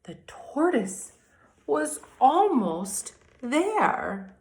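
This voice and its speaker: A woman teacher reading aloud and explaining to young children in a deliberate, expressive way, speaking to them directly. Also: high voice. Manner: deliberate, addresses children directly with questions and asides. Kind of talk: expressive children's read-aloud and mini lesson.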